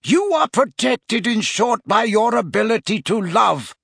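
A man's voice: sits high, big pitch swings, a little slow, vocal fry, fairly bright tone, husky, no room sound, clean studio sound, pitched above the performer's own voice, heavily acted. A man voicing an old, wise headmaster wizard in an audiobook, speaking formally and emphatically as an earnest, urgent mentor. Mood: impatient, agitated.

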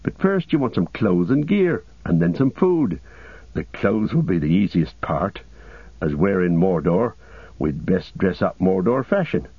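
A man's voice: low voice, very animated, measured pace, slightly rough, no room sound, narrow, tinny audio, exaggerated voice. A man voicing a loyal, humble hobbit servant in an audiobook, plain-spoken and deferential, with homely rustic phrasing. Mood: steady, caring.

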